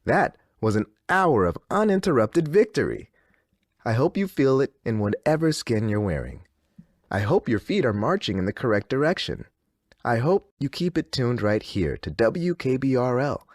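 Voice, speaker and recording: An actor playing a serene but eerily cheerful radio DJ with a deliberate, reassuring announcer delivery. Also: medium pitch, big pitch swings, no room sound, clean studio sound. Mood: uncanny calm. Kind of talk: scripted fictional radio station patter.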